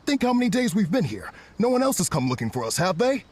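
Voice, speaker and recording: An actor playing a shifty, stubborn bandit boss in an anime video game, grumbling and defensive in a dramatic way. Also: male voice, high voice, big pitch swings, fast, darkish tone. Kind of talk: English-dubbed anime video game acting.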